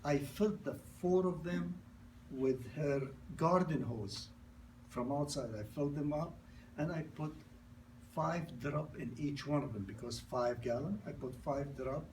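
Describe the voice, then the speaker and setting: A man's voice: on the high side, measured pace, darkish tone, recorded from a distance, slight background noise, rough recording. A man in his sixties with a non-native accent, who grew up in New York, gives an informal sales presentation. He speaks in broken grammar, repeats and restarts, and tells stories. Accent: non-native English accent. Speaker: product presenter.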